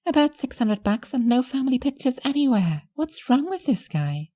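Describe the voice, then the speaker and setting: Woman acting a young thief girl in audio fiction, very high, swooping, soft-toned, morbidly curious, breezy. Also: big pitch swings, normal speed. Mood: playful.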